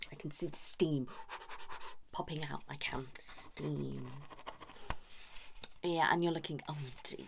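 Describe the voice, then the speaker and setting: Hushed, low-voiced woman doing ASMR therapy role-play. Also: background noise. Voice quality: soft, breathy, often whispered. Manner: chatty, mock-concerned, gently scolding, hushed. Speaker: ASMR host role-playing a couples therapist. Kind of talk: ASMR role-play, one-sided improvised conversation.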